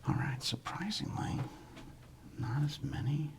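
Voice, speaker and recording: A man hosting an ASMR video, speaking in a breathy whisper with a gravelly creak, rambling casually as if musing to himself. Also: on the high side, expressive, measured pace. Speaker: host of a sports card ASMR channel. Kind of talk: ASMR, unscripted whispered commentary on a card collection.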